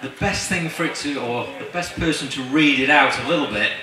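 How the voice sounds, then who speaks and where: Hesitant man, echoey and distant. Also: mid-range voice. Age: middle-aged. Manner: hesitant, halting. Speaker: event presenter introducing a reader. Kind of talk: informal spoken introduction to an audience. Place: large marquee tent with seated audience. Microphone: handheld mic through speakers, filmed from afar. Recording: echoey PA, distant, crowd noise.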